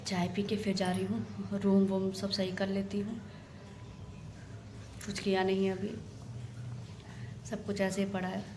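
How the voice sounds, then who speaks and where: A woman with a sore, hoarse throat talking to her phone camera in a home kitchen, chatty and hurried as she cooks. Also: mid-range voice, monotone. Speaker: homemaker vlogger. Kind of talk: casual talk to camera while cooking. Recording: home phone video with clear background noise.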